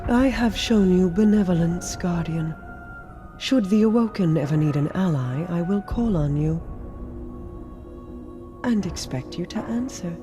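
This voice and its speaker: A composed regal queen, dark soft-edged tone, formal, aloof, video game, music underneath. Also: lowish voice, expressive, measured pace, long pauses. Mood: imperious. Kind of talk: video game cutscene acting. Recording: music behind.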